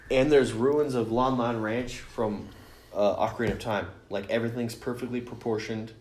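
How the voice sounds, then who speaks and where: A man's voice: medium pitch, steady tone, moderate pace, darkish tone. A man co-hosting a podcast, explaining things in a casual, enthusiastic, geeky and sweary ramble. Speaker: podcast co-host. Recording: roomy echo with faint noise.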